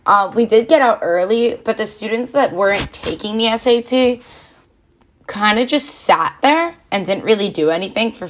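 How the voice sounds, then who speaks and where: A teenage young woman with an American accent, giving considered, explanatory opinions as a student guest in a casual panel discussion. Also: medium pitch.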